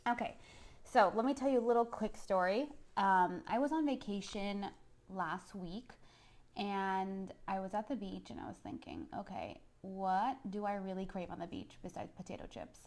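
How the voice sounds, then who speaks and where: A woman's voice: medium pitch, normal speed, a little mellow. A middle-aged woman from Puerto Rico chatting informally as a chef on a live cooking show, often checking in with viewers. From San Juan, Puerto Rico. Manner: chatty, informal, addresses viewers directly with frequent "okay?" tags. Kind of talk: casual live-streamed cooking demo chat.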